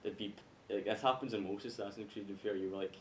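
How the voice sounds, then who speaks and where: A man's voice: steady tone, fast talker. A man with a Scottish accent in casual, rambling conversation, hesitant and a bit sweary. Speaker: podcast panellist. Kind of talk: casual chat about video games. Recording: echoey room, faint rumbling noise.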